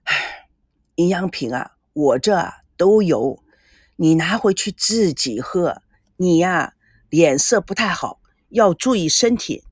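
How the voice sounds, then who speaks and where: A woman's voice: on the low side, animated, takes it slowly, husky, dry recording, studio quality, heavily acted. A woman voicing a stern, caring elderly father in an audiobook, grumbling, sighing and admonishing. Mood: concerned.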